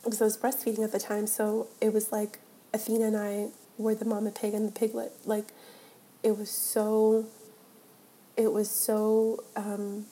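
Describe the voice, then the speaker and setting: Young woman with a stuffy cold voice, flat mellow tone, chatting solo on her podcast. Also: medium pitch, poor audio. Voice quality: nasal, congested. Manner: earnest, with many fillers and restarts. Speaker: podcast host. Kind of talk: solo casual podcast talk.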